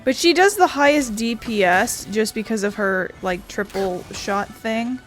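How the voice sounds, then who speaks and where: A woman's voice: fairly high pitch, measured pace. A woman giving informal, wry let's-play commentary as a video game streamer. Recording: game music behind the voice.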